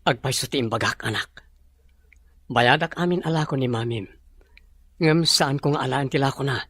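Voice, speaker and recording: A man acts as a well-off young father in an audio drama. His voice is husky and sits at a middle pitch, with a gentle, coaxing way of talking. The recording is dry and close. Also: moderate pace, no echo, close to an everyday voice. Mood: tender. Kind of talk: Ilocano radio-style drama acting.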